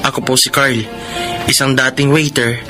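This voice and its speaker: A man's voice: medium pitch, little pitch movement, moderate pace, loud music behind the voice, lightly acted. An actor playing a humble, respectful orphaned young man in a Filipino radio drama. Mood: sincere narrating. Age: young adult.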